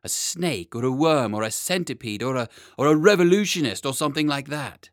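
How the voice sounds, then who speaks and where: A man's voice: mid-range voice, expressive, fairly quick, dry recording, clean studio sound, voice pushed up, close to an everyday voice. Guy doing a stern stuffy royal chancellor from a kids story, scolding, indignant. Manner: indignant, pompous.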